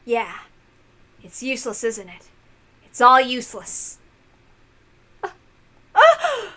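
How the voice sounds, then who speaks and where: Woman acting out an anguished, high-voiced, despairing character. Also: wildly swooping pitch, slow, sharp tone, full character voice.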